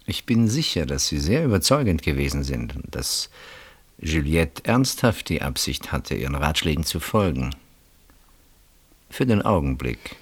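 An actor in a German radio play voicing a coolly ironic, probing husband with measured, drawn-out phrasing. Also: male voice, low-pitched, exaggerated voice. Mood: dry irony.

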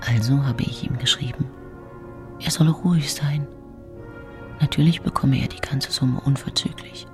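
An actress playing the cold, furtive inner voice of a female poisoner, speaking in a breathy, conspiratorial whisper for radio drama. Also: a bass voice, normal speed, over music. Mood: cold, secretive.

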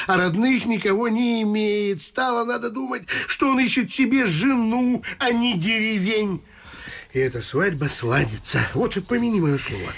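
An actor playing a fussy, cautious middle-aged landowner husband in a theatrical radio vaudeville, emphatic and grumbling. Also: male voice, high-pitched, gravelly, fairly bright tone, full character voice. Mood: reasoning. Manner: grumbling, repetitive catchphrase, emphatic.

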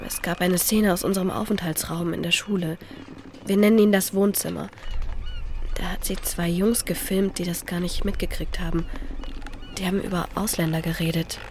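A woman's voice: on the low side, steady tone, normal speed, a little mellow, a little hiss or hum, close to an everyday voice. An actress playing a frank, slightly wary teenage schoolgirl, speaking matter-of-factly in a radio drama. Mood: reflective. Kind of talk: German radio drama dialogue.

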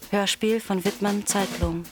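A woman's voice: medium pitch, fairly even intonation, unhurried, close to an everyday voice. A woman announcing in a radio play, reciting scripted lists in a detached, clipped manner. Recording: voice over loud music.

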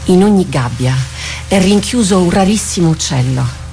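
An actress playing a proud, seasoned woman warrior captain in a radio drama, wry and resolute, speaking formally and deliberately. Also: on the low side, lively intonation, creaky, warm tone, slight background noise. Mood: brooding. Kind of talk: theatrical radio drama acting. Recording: studio radio drama with music underneath.

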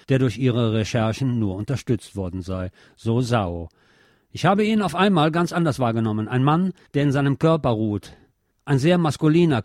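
A man's voice: medium pitch, darkish tone, no echo, clean studio sound. A man hosting a radio show, reading a scripted review in a formal manner and addressing his listeners directly.

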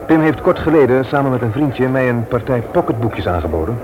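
An actor playing a stern, indignant brother-in-law in a Dutch radio play, emphatic and threatening when crossed. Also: male voice, mid-range voice, animated, brisk, muffled recording, natural voice. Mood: grave, indignant. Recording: very noisy old radio play recording.

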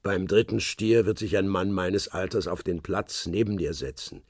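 A cryptic old spy. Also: male voice, low-pitched, darkish tone, no room sound, clean studio sound. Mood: calm. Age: older. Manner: cryptic, measured, speaks in hints. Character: old retired secret-service man, cryptic. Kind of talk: radio play acting.

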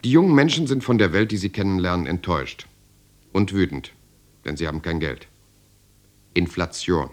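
An actor playing a reflective man narrating his restless youth in a radio drama, with emphatic, measured phrasing. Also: low voice, expressive, slight background noise. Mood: bitter. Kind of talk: dramatic first-person narration, German radio play.

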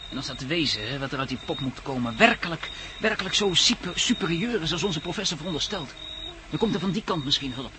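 An actor playing a genial, hopeful filmmaker in a radio drama, hesitant and searching for words. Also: male voice, a little high, expressive, fast, background noise, lightly acted. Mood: thoughtful.

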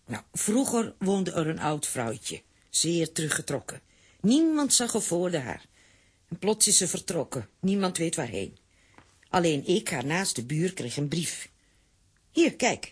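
A fussy cartoon aunt in a children's radio play. The voice is husky and lively, swinging widely in pitch as she chats and exclaims. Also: unhurried, full character voice. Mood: explaining. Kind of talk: children's audio drama acting.

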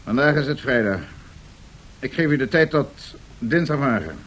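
Stern colonel businessman, mid pitch, curt and demanding, radio play. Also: expressive, moderate pace, fairly bright tone. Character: stern, uncompromising American colonel and business executive. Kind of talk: Dutch radio drama acting.

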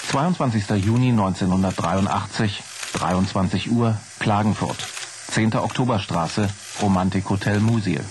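A man's voice: fairly low pitch, moderate pace, natural voice. An actor playing a terse male announcer who reads out log entries of dates and places in a radio play, clipped and factual.

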